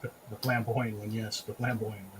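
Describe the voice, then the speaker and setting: A man hosting a relaxed, casual group chat about pop culture. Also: lowish voice, brisk, a crowd in the background, low-quality recording. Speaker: host of a drink and draw show.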